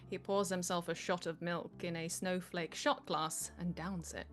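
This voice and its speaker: A woman's voice: lowish voice, fairly even intonation, a little slow, soft background music. A woman reading stage directions as the narrator of a live script table read.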